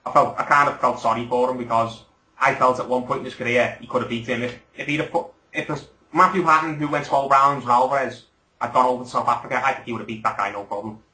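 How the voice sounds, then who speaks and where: A man talks quickly and with opinions in a casual podcast chat, often seeking agreement. His voice is bright and forceful. The room gives it a noticeable echo. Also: medium pitch, fairly even intonation. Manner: opinionated, rambling, asks for agreement. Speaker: podcast host.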